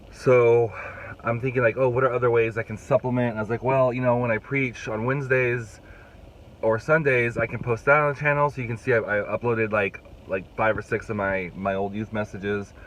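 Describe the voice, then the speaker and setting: Young male youth pastor, casual vlog, slightly congested, middle-pitched, phone recording with faint background noise. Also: a bit bright. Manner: rambling, restarts and fillers, chatty. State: congested, fighting a cold, voice rough. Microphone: phone held at arm's length.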